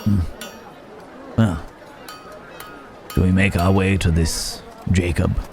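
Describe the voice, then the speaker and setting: A deep-voiced, courteous werewolf from an old-time village, acted by a man in an ASMR roleplay. He speaks slowly in formal, old-fashioned phrases, musing and hesitating, with a busy crowd behind him. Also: steady tone, a little mellow, soft background music. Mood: musing, cautious. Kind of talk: ASMR roleplay acting, one-sided dialogue. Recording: busy outdoor market ambience behind voice. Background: market crowd ambience.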